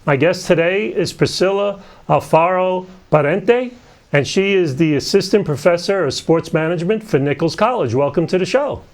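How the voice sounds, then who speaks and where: Guy hosting an interview show, pretty high voice w/ lots of creak. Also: moderate pace. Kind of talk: scripted show introduction and interviewing.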